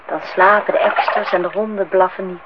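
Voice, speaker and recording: A woman's voice: mid-range voice, steady tone, a little fast, natural voice. An actress playing an earnest, questioning eighteen-year-old girl in a Dutch radio play, talking in short, probing phrases. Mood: quiet, probing. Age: young adult. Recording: dull, noisy old radio play recording.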